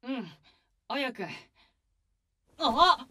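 A man playing an excitable, earnest young male idol in a voice drama, with a boyish, eager delivery. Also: extremely high pitch, drawn-out words, breathy edge, heavily acted. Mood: excited. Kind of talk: Japanese voice drama acting.

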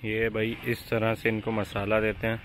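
A young man talks viewers through his cattle farm in a low, flat, matter-of-fact voice. He is recorded outdoors on a camera mic. Farm noise sits clearly behind him. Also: normal speed. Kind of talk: casual vlog narration. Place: outdoor cattle farm under shade sheds.

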